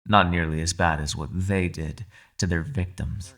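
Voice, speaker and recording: A man's voice: deep voice, steady tone, moderate pace, a bit bright. A man playing a captive kitsune, a sly and menacing fox spirit, with silky, taunting, mock-polite delivery in an ASMR roleplay. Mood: proud, cool.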